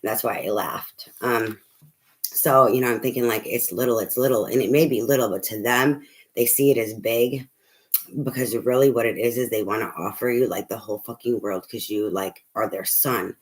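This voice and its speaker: Soft-spoken hoarse tarot reader. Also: mid-range voice, normal speed. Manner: rambling, filler-heavy, swears casually; speaking softly. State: losing voice, hoarse. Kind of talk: casual tarot reading to camera.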